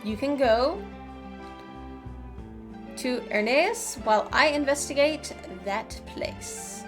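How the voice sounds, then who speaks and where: A woman's voice: high-pitched, animated, slow pace, over music. A woman streamer giving casual gameplay commentary and reading choices aloud. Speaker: streamer playing a game.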